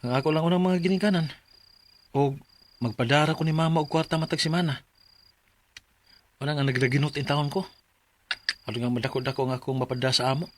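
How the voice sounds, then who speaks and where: A man's voice: fairly high pitch, steady tone, fast talker, long pauses, slight background noise, lightly acted. A man acting a humble, proud widowed father of five in a Bisaya radio drama, speaking in a plaintive, earnest way with a Filipino Bisaya accent. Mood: sorrowful.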